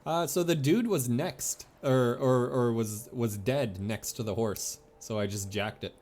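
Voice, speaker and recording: A man's voice: mid-range voice, measured pace, a little mellow, soft background music. A man streaming a video game with casual, playful and sometimes sweary commentary.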